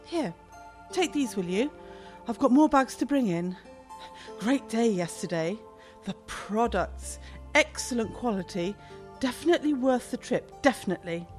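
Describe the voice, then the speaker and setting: Fussy British shop boss, high animated voice. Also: female voice, soft background music. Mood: brisk, chirpy. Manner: brisk, chatty, theatrical. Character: bossy, fussy, easily panicked woman shop owner. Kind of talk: radio play comedy acting.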